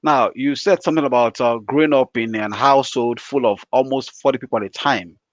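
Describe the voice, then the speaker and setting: A man's voice: medium pitch, a little fast, no echo, lo-fi sound. A man hosting an interview, asking winding questions with fillers. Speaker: host.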